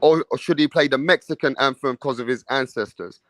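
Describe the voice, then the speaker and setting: A man's voice: mid-range voice, fairly quick, a bit bright, no room sound. A man hosting a casual boxing livestream, swearing freely and pushing the viewers to vote. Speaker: livestream host.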